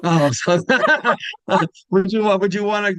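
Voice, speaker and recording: A man's voice: high voice, slow, fairly bright tone, dry recording. A man hosting a casual interview in a rambling, repetitive way, often trailing off mid-thought. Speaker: interviewer and show host.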